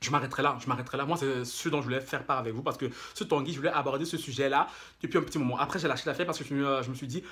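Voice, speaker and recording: A man's voice: mid-range voice, racing, fairly bright tone. A man delivering an opinionated, casual monologue to his viewers, indignant and mocking, repeating phrases for emphasis. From Africa. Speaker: YouTube commentator.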